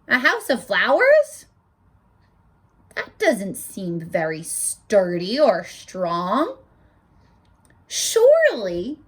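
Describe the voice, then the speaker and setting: A woman teacher reading a picture book aloud to children, stopping to explain words and ask for their predictions. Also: high voice. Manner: animated, asks children questions.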